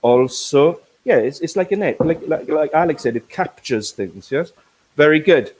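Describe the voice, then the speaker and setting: A man's voice: a little high, lively intonation, moderate pace. A man from Wales hosting an online business English lesson, speaking carefully with frequent fillers and repeated words. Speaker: corporate English teacher, webinar host. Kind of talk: teaching webinar, explaining words.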